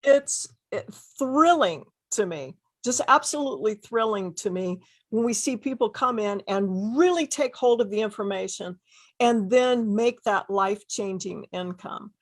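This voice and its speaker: A woman's voice: medium pitch, animated, measured pace. Female interview host, mid-range voice, enthusiastic and effusive. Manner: effusive, repeats phrases for emphasis. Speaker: host interviewing successful online sellers.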